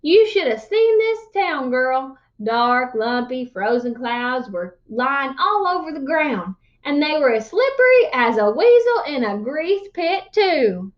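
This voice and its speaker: A woman's voice: high-pitched, big pitch swings, takes it slowly, hardly any pauses, dull audio, exaggerated voice. A woman voicing a folksy, boastful old man spinning tall tales in a children's storybook read-aloud. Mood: boastful, lively.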